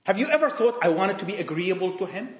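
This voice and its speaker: Impassioned preacher with high lively voice. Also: male voice, fast talker, a little hiss or hum. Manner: emphatic, repetitive, rhetorical questions, dramatic drops in volume. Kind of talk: sermon to young people. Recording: echoey room.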